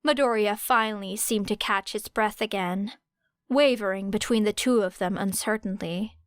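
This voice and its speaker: A woman's voice: medium pitch, moderate pace, clear, resonant tone, dry recording, studio quality. A woman reading scripted fiction as an audiobook narrator.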